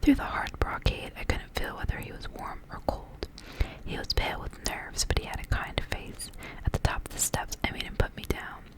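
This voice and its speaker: A woman doing ASMR, reading a story aloud in a soft, breathy whisper. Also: moderate pace.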